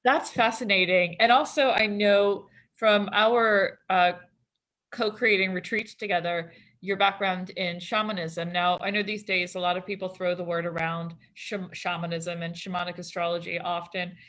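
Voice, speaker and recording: A woman's voice: lowish voice, fairly even intonation, normal speed, a bit bright. A woman hosting a casual interview over a video call, enthusiastic and laughing often. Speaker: astrologer and host.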